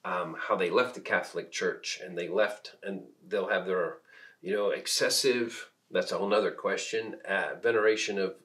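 Deep-voiced, reflective middle-aged man. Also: steady tone, fairly bright tone. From Peru. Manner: reflective, halting, searching for words. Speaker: minister on a discussion podcast. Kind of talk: unscripted conversational discussion.

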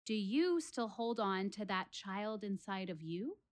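A woman's voice: medium pitch, lively intonation, unhurried, natural voice. An actress playing an earnest, wry woman philosophizing to her theater audience in a dramatic one-woman monologue. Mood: playful, bright. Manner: dramatic, rhetorical, addressing listeners directly.

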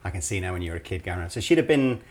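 A man's voice: sits low, animated, racing, a little mellow. A man hosting a casual podcast interview, asking long, rambling questions full of fillers. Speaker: podcast host.

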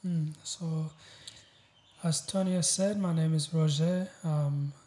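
A man's voice: on the high side, steady tone, takes it slowly, warm tone. A man with an East African accent, chatting casually as a guest on a podcast. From Uganda. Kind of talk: casual chat. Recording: roomy echo.

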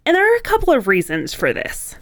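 A woman's voice: sits high, wildly swooping pitch, brisk, fairly bright tone, dry recording, studio quality. A woman co-hosting a writing podcast, explaining points in a conversational way.

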